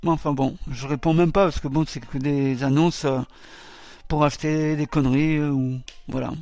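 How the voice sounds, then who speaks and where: Hesitant higher-voiced radio host man. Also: fairly even intonation, normal speed, darkish tone, no room sound, soft background music. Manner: hesitant, many filler sounds. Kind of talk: casual radio talk.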